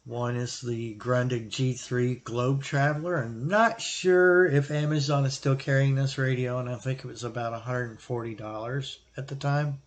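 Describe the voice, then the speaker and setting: A man hosting a hobby video, explaining gear in a casual, plain-spoken, unscripted way with halting pauses. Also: mid-range voice, steady tone. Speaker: radio hobbyist host answering viewer question. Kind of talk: casual explanatory product talk.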